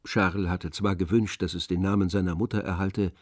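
Low-voiced male literary narrator. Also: fairly even intonation, a little fast, no echo, studio quality. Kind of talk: scripted literary narration for an audio drama.

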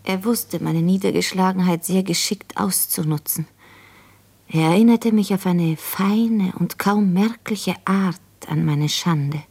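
Radio drama, female: anguished schoolgirl, hushed and husky, mellow dark timbre, intimate confessional delivery. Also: lowish voice, exaggerated voice. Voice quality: breathy, hushed. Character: anguished, shamed schoolgirl confiding her misery. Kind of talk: 1950s German radio drama acting.

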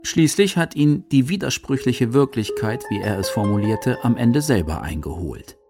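A man narrating and reading quotations for a scripted radio documentary, measured and articulate. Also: medium pitch, lively intonation. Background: music.

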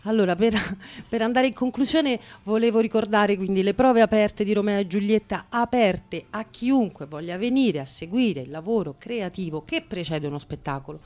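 A woman's voice: medium pitch, moderate pace, hardly any pauses, darkish tone. A woman hosting a radio show, casually giving closing remarks and announcements. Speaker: radio host.

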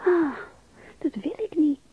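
A woman's voice: high voice, animated, takes it slowly. An actress playing a passionate, yearning young bride in a radio drama, sighing as she talks. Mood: troubled. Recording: dull radio recording, faint noise.

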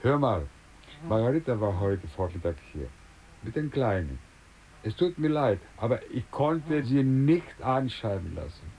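An actor playing an older neighbourhood shopkeeper in a radio drama, familiar, wry and obliging. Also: male voice, medium pitch, dark tone, breathy edge, poor audio. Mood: apologetic.

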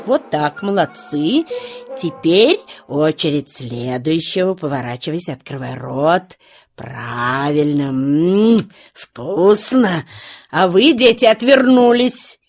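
A woman's voice: low-pitched, animated, slow, a little mellow, soft background music, exaggerated voice. A woman voicing a strict, orderly mother hawk who fusses over and lectures her chicks in a children's audio play. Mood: coaxing, doting. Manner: bossy, didactic, fussing tenderly over children.

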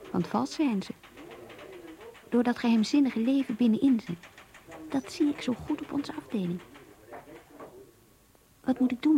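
A woman's voice: fairly high pitch, warm tone, natural voice. An actress playing an earnest, idealistic young office worker who confides her troubles in an introspective radio play monologue. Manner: introspective, pleading, searching.

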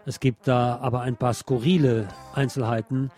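A man's voice: mid-range voice, level tone, normal speed, dark tone, faint music behind. A man hosting a radio show, reviewing films in a partly scripted, partly conversational way, with opinionated asides to his listeners. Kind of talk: film review, part scripted narration, part conversational.

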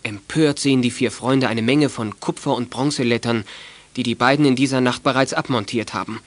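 A man serves as narrator of a children's audio drama. He reads scripted story passages in a voice of middle pitch. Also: steady tone, brisk, dry recording.

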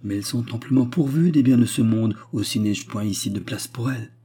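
A man's voice: mid-range voice, a little fast, dark tone, slightly rough, natural voice. A man voicing a kind, idealistic young lady hostess in an audiobook, speaking politely and with effusive, earnest concern. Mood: cheerful, emphatic.

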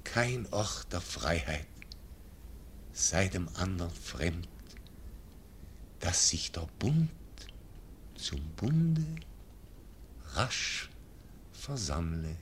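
An actor playing a frail, dying old nobleman, speaking verse slowly and fading into whispers. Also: low voice, very animated, a little hiss or hum, full character voice. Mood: solemn. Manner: slow, fading, drops into whispers. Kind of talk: classic radio drama verse.

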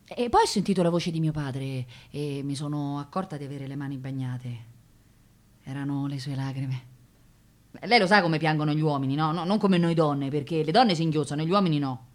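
A woman's voice: low voice, moderate pace, lightly acted. An actress playing a proud, touchy young working-class woman with a Roman accent, talking colloquially and emotionally in a radio play. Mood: wistful, confiding. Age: young adult. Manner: colloquial, repeating words, emotional. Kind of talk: theatrical radio drama monologue.

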